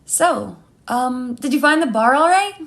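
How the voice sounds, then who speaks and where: A woman storyteller voicing an awkward, flustered young single woman on a date, speaking haltingly. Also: sits high, animated, creaky, a bit bright, pitched above the performer's own voice, full character voice. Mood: tentative. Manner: hesitant, halting with ums and uhs.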